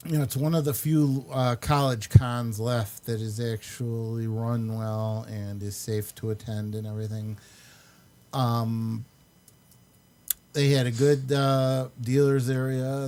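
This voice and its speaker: A man hosting a podcast in a casual, chatty way, with halting and hesitant speech. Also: mid-range voice, steady tone, darkish tone. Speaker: podcast host. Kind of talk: casual chat.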